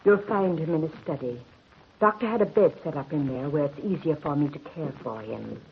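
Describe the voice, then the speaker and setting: An actress playing a sober, deferential housekeeper in an old-time radio drama, speaking plainly and respectfully. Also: female voice, deep voice, fairly quick, vocal fry. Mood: sober concern. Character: sober, deferential female housekeeper nursing her master. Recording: dull noisy old radio recording.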